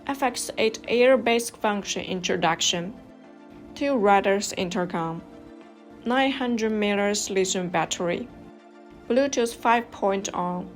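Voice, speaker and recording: A woman's voice: medium pitch, slow pace. A woman narrating a scripted product review step by step as the reviewer. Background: music.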